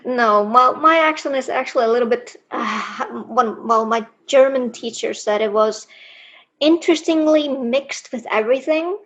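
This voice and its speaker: A woman's voice: a little high, normal speed, a bit bright. A woman from Iceland with an accent that mixes Icelandic, American and European sounds, chatting casually as a guest author over an online call. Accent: mixed Icelandic, American and European. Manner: chatty, laughs often. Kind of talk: casual interview chat. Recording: dull lo-fi online call audio.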